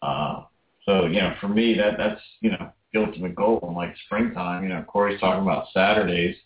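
A man's voice: deep voice, steady tone, brisk. A man talking casually as a guest on a radio show, rambling with many fillers. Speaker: guest vendor. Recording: distant, roomy, phone-like line.

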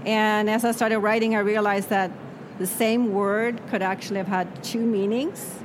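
A woman whose first language is Swedish, an author answering interview questions in a reflective way that often trails off softly. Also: mid-range voice, fairly even intonation, moderate pace. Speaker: author guest. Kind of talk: interview conversation. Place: noisy spot near traffic. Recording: background traffic noise.

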